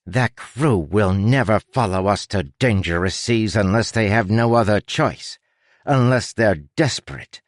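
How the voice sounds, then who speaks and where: A man's voice: lowish voice, a little slow, dry recording, studio quality, pitched above the performer's own voice, natural voice. A man voicing a rat who plays an aristocratic pirate captain, with a posh accent and a pompous, declamatory manner.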